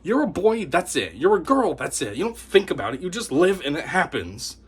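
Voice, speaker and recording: A man with a slightly high, husky voice speaks in an opinionated monologue to camera. His delivery swings widely up and down as he talks scornfully and energetically. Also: fairly quick. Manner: animated, scornful, mocks opponents in put-on voices. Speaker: YouTube commentator.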